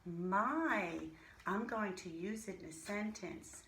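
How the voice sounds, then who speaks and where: A woman teaching a reading lesson to young children, pronouncing single words deliberately and speaking to the children directly. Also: mid-range voice, a bit bright. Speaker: primary school reading teacher. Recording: roomy echo, faint background noise.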